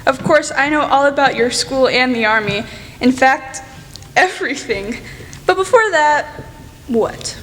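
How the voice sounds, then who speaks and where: A young woman playing an outspoken, excitable teenage girl in a radio play, gushing and rambling bluntly. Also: high voice, a little slow. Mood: chatty, eager. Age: teenager or young adult. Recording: echoey hall, faint noise.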